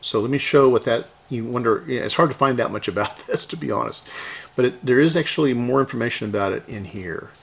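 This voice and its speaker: A man's voice: medium pitch, quick pace, vocal fry, breathy edge. A middle-aged American man from the Houston area, chatting casually and explaining things in a folksy, musing way. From Houston area, Texas, US. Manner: folksy, musing, thinking aloud. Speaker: hobbyist repair video host.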